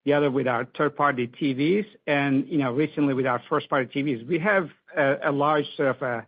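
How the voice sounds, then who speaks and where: A man's voice: mid-range voice, fairly even intonation, brisk, husky. A man speaking as a business executive answering on an earnings call, in hesitant, filler-heavy phrasing. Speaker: company device business executive. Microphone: conference call line. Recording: tinny phone-like conference line.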